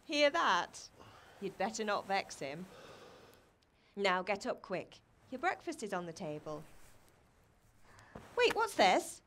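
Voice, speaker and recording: An actress playing a brisk, scolding but caring middle-aged mother from a medieval village in a video game. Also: mid-range voice, big pitch swings, fairly bright tone, a little hiss or hum. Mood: brisk, chiding. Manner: chiding, matter-of-fact. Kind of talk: naturalistic video game acting.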